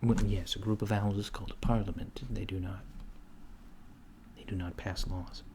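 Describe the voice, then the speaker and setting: Soft-spoken low-voiced man, ASMR roleplay. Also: steady tone, normal speed. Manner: hesitant, apologetic, earnest questioning, drifting into whispers. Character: polite, curious, naive alien data-entry clerk. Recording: noisy close recording with keyboard typing. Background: computer keyboard typing.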